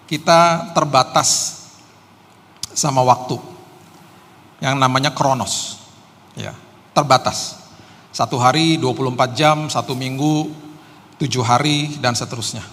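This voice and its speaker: A middle-aged Indonesian pastor giving a sermon with personal testimony, casual and joking, with many hesitant "eh"s. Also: male voice, mid-range voice, fairly bright tone. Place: church hall. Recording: long hall reverb, faint ambience.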